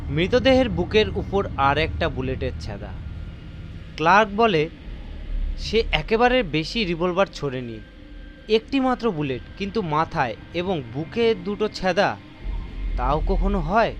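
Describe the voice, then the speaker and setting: A man narrating a mystery story in a theatrical, suspenseful, scripted style. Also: sits high. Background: faint music.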